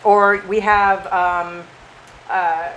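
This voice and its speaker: A woman's voice: mid-range voice, flat delivery, drawn-out words, a bit bright, poor audio. Older american lady chatting, hops between points, bit of background noise. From United States. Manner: chatty, talks over her partner, jumps between points. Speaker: co-host. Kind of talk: casual chat.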